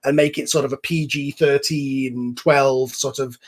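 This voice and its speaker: A man's voice: medium pitch, fairly even intonation, a little slow. A man hosting a casual online group chat, explaining things at length in an enthusiastic, informal, rambling way. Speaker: host and series creator. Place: online voice call.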